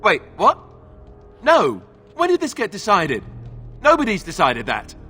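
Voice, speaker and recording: A man's voice: high voice, wildly swooping pitch, a little slow, sharp tone, breathy edge, soft background music, heavily acted. A man voicing a young, self-doubting heir to a throne in a fantasy video game, earnest and wry, with a conversational, hesitant way of talking. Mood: alarmed protest.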